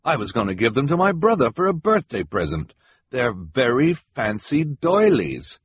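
A man's voice: mid-range voice, sing-song, moderate pace, slightly rough, no room sound, voice pushed up. A man voicing a defensive male auction bidder with a plain manner.

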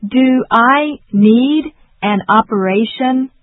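A woman repeats each practice sentence slowly and carefully, as if modeling it for learners. The recording is dry with no room sound. It is slightly muffled and lo-fi. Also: a little high, dry recording. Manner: slow, careful, deliberate. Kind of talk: slow repetition drill. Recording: dull dry lo-fi audio.

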